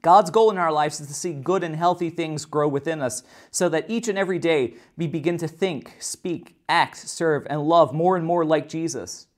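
A man in his thirties leads a children's Bible lesson, reading scripture and then explaining it in an earnest, steady voice. He is recorded indoors in a church room by a camera at desk distance. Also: fairly high pitch, moderate pace, few pauses. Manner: earnest, explanatory, with occasional restarts. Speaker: children's ministry teacher.

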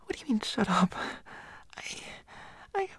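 A woman playing a nervous, pouty teenage schoolboy in a whispered audio roleplay, breathy, hesitant and pleading. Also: fairly high pitch, very animated, unhurried, fairly bright tone, no room sound, full character voice. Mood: anxious, flustered.

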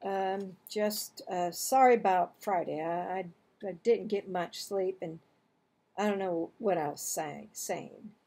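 A woman reading a children's storybook, voicing an awkward, unsure schoolboy in a halting manner. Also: fairly low pitch, mellow. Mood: sheepish.

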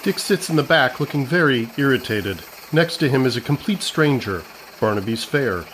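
A man's voice: mid-range voice, expressive, moderate pace, a bit bright, slight background noise. A man reading scripted narration as the narrator of an audio comedy.

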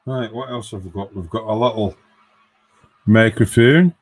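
A man's voice: lowish voice, normal speed, breathy edge. A man doing a casual live build of an electronics kit, thinking aloud and counting parts in a workshop. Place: workbench in a home workshop.

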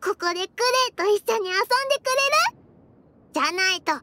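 A woman playing a cheerful, innocent and excitable little girl in an anime game, talking in simple childlike exclamations. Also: very high-pitched, brisk, dry recording, heavily acted. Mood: eager, playful. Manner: childlike, refers to herself by name, simple exclamations. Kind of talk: Japanese anime game voice acting.

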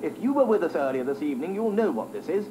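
A man's voice: fairly high pitch, very animated, fast talker, noisy background. A man playing an earnest 1960s television presenter making a formal live broadcast announcement. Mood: earnest, grand. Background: loud music.

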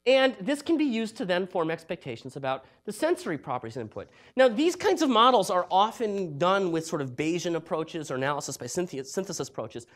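A middle-aged man giving an academic lecture in a hall, talking in a lively, conversational way with joking asides. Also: high voice. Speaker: university researcher giving a talk.

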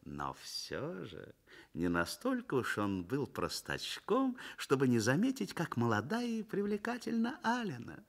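A man narrating a Soviet radio play in an expressive, literary storytelling manner. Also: mid-range voice, unhurried, creaky.

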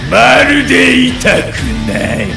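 A haughty monster king of the deep in an anime game. His high, effeminate voice takes its time, gliding and swooping with languid menace. Music plays behind him. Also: slow pace, vocal fry, heavily acted. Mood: regal menace. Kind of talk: Japanese anime game acting.

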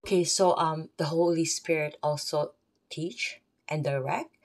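Filipino woman, low voice, rambling unscripted faith talk with asides. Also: fairly even intonation, unhurried, a little mellow, a little hiss or hum. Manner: rambling, off the cuff, chatty asides. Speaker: faith vlogger. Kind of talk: unscripted religious talk to camera.

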